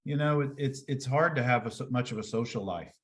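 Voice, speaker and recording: A man's voice: mid-range voice, fairly quick. Man hosting a sports interview. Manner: friendly and conversational, long rambling questions. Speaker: podcast host, former college football player.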